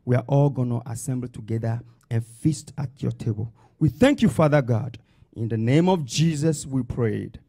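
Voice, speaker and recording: A man's voice: mid-range voice, normal speed, gravelly, warm tone, no room sound, studio quality. A male pastor with a non-native English accent, preaching and praying in a fervent, emphatic way with repeated phrases. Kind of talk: sermon and congregational prayer.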